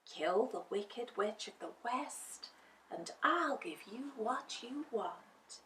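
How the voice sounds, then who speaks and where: A woman voicing a gentle winged lady who murmurs in a whisper. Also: a little high, expressive, takes it slowly, distant mic, pitched above the performer's own voice. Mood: hushed. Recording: echoey room, voice off-mic.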